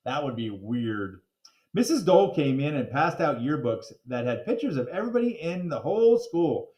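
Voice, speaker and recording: A man reading a children's story aloud in a playful, expressive way with theatrical emphasis. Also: a little high, normal speed. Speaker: reader of children's book. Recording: roomy, echoing room.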